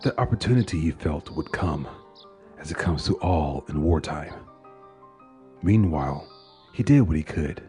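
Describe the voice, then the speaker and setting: Very deep-voiced male narrator over music. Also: lively intonation, unhurried, long pauses, warm tone. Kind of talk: dramatic horror-tale narration of a literary short story. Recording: music under the voice.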